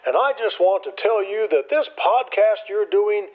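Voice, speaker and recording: An actor playing a jolly, kindly old Father Christmas in a radio play, with a jovial drawn-out delivery through a telephone filter. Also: high-pitched, lively intonation. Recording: thin, band-limited phone-call sound.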